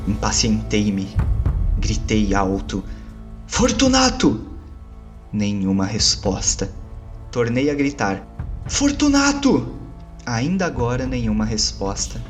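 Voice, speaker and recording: A man narrating a gothic horror story in a measured, theatrical, solemn manner. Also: fairly low pitch, steady tone. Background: faint background music.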